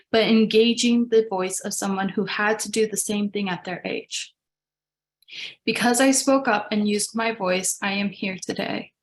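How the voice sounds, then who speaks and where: Young woman, advocate, reads her own speech earnestly over a call mic; mid pitch. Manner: earnest, steady, with small restarts. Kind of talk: reading a prepared personal speech.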